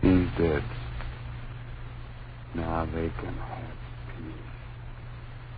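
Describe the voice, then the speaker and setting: An actor playing a shaken man facing horror, halting and dramatic in 1930s radio style. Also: a bass voice, little pitch movement, phone-quality sound, exaggerated voice. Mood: hushed dread. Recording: noisy, thin 1930s radio recording.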